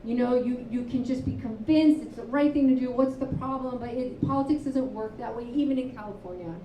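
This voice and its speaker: A woman author and advocate speaking candidly at a live panel, telling stories with quick asides. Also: on the high side, slight background noise. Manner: candid, storytelling, animated asides. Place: live event venue, indoors. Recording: roomy, distant, dull live-room sound.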